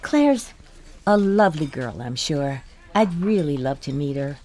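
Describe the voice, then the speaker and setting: An actress playing a polite, patient middle-aged wife in an audio drama, speaking in a hesitant, confiding and quietly candid way. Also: female voice, low-pitched, expressive, unhurried. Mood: gently insistent.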